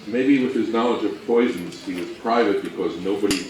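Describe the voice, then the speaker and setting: Lowish-voiced man presenting in a roomy space. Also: fairly quick, darkish tone. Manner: joking, conversational. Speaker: co-presenter of a history talk. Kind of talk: informal slide presentation. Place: room presentation before an audience. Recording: roomy, distant mic, faint background noise.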